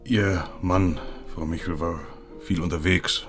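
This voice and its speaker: A man's voice: low voice, steady tone, slow pace. A man acting in a German radio drama as a sympathetic investigator, speaking with tact and some hesitation. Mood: gently probing. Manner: tactful, hesitant. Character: sympathetic, awkward male investigator questioning a widow. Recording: music behind the voice.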